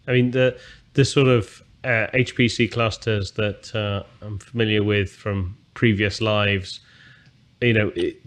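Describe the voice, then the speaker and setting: A man hosting an interview, with a fairly low voice. He asks long, rambling questions, padded with fillers and restarts. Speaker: interview host.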